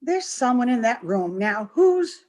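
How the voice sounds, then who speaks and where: Woman acting domineering, scornful woman, higher-pitched. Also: very animated, unhurried. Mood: wary, dismissive. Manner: bossy, sharp-tongued, impatient. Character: domineering, scornful, hard-headed scheming woman. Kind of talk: 1930s radio horror acting.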